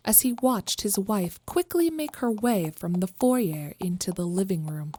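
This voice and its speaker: A woman's voice: medium pitch, animated, unhurried, mellow. A woman reading scripted narration of a horror story as the narrator.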